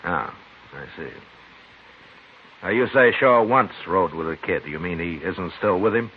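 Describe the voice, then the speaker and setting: Very deep-voiced, gruff frontier marshal. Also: male voice, expressive, measured pace. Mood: dry, skeptical. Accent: American. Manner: dry, laconic, skeptical. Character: gruff, dry, world-weary frontier US marshal. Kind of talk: 1950s radio western acting and narration. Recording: muffled, hissy old radio broadcast recording.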